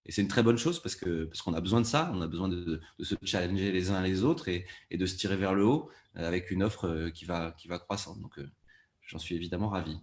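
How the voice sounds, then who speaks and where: Male producer for panel talk. Lowish voice, hesitant, word-repeating delivery. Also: fairly quick. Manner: hesitant, repeats words while formulating. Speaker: podcast producer, panel guest. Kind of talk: panel discussion.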